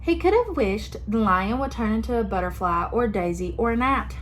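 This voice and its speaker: A young woman reading a picture book aloud to children, expressive and pausing to ask them questions. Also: a little high, a little hiss or hum. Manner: expressive, asks listeners questions. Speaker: children's story reader.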